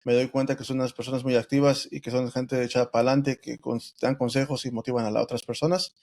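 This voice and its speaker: A man hosting a podcast, asking guests steady, encouraging questions in a casual interview. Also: medium pitch, quick pace. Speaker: podcast host.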